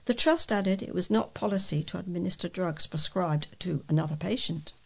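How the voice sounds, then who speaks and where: A woman's voice: fairly low pitch, moderate pace, creaky, a little mellow, breathy edge. A woman reading local newspaper reports aloud as a volunteer newsreader.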